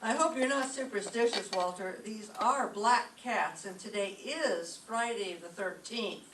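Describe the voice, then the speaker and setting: A woman playing a wry, sardonic high school teacher in an old-time radio comedy, with wisecracking delivery. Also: a little slow, a bit bright, natural voice. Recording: echoey stage, distant mic, faint noise.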